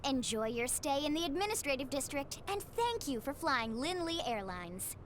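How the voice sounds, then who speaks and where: A woman's voice: sits high, very animated, moderate pace, slight background noise. An actress playing a chirpy, playful young woman pilot in a video game. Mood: cheerful, playful. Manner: bubbly, playful. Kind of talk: video game cutscene voice acting. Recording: music behind the voice.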